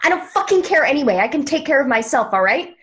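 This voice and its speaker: Actress as guarded hot-tempered woman, blunt, really swoopy pitch, tv drama read. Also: very animated, fast, a bit bright. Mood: furious. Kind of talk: table read of TV drama script.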